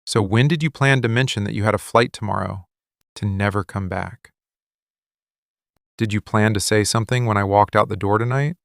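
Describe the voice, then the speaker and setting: Guy doing a gravelly mafia boss for boyfriend asmr, possessive and wounded, clipped questions, really close dry sound. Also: on the low side, dry recording. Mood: intense, accusing. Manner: deliberate, clipped, interrogating delivery. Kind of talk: boyfriend ASMR roleplay acting.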